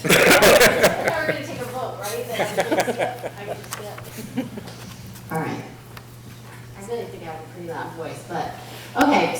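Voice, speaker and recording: A woman speaks as a school principal at a public meeting, explaining informally. Her voice sits a little low. She is picked up from a distance in a heavily reverberant room with faint noise. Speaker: elementary school principal presenting. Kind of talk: public meeting presentation with questions. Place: large echoey meeting room.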